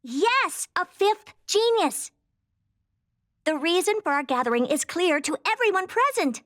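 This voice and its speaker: A woman's voice: extremely high pitch, big pitch swings, measured pace, a bit bright, heavily acted. A woman voicing a brash, haughty young female genius scientist in a video game, blunt and self-assured. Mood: confident, eager.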